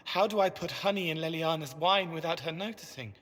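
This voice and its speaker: An actor playing a gentle, troubled young man with a hesitant, wistful manner, in a video game cutscene. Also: a little high. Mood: sad, searching. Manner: hesitant, halting, plain-spoken and wistful. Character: gentle, troubled young man, once a spirit, childlike and haunted.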